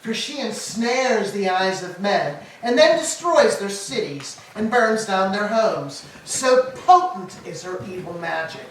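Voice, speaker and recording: A woman's voice: mid-range voice, lively intonation, a little slow, hardly any pauses, natural voice. A woman playing a grieving, vindictive old Trojan queen in a staged tragedy reading, declaiming solemnly and fiercely. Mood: warning, bitter. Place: church, live staged reading. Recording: echoey church, distant mic, faint noise.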